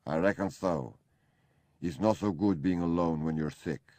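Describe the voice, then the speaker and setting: A man's voice: deep voice, measured pace, darkish tone, dry recording, clean studio sound. A man reading an audiobook, voicing a crude, sick man who complains bluntly. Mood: complaining. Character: sick, surly, crude male companion.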